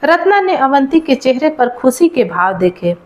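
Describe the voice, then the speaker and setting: A woman's voice: sits high, sing-song, moderate pace. A woman reading a scripted moral folk tale aloud as a storyteller.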